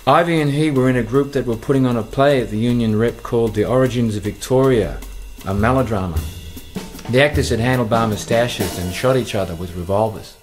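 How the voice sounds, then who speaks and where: A man's voice: medium pitch, brisk, lightly acted. Guy doing a dry aussie narrator looking back on wild student days, radio drama, faint music under. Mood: wry. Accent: Australian.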